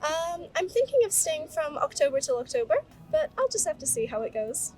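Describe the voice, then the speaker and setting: A woman's voice: very high voice, wildly swooping pitch, a little fast, a little mellow, distant mic, soft background music, low-quality recording. A woman from Poland in a street interview, talking with bubbly enthusiasm and lots of "you know" and "like". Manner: enthusiastic, gushing, many fillers like "you know". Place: outdoors on a city street.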